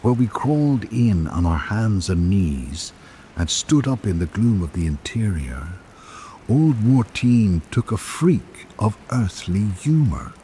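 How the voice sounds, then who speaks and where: A man plays a reflective travelling writer narrating a radio drama. His low voice moves expressively through literary prose, with faint rainy noise behind it. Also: very animated, takes it slowly, warm tone.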